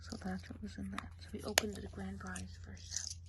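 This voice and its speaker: Gossipy witch of the woods, a woman doing an asmr roleplay, soft voice sliding into whispers, slow careful instructions. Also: medium pitch, flat delivery, heavy background noise, close to an everyday voice. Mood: gently instructing. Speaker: ASMR role play host. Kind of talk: ASMR role play.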